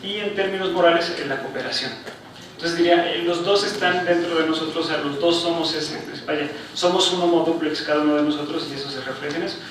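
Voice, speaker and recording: A man's voice: a little high, steady tone, fast talker, a bit bright. A man, a panelist giving an academic talk in a university lecture hall, reflective and hesitant, with frequent fillers and questioning tags. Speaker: book presentation panelist. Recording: echoey hall, picked up from far off, noisy.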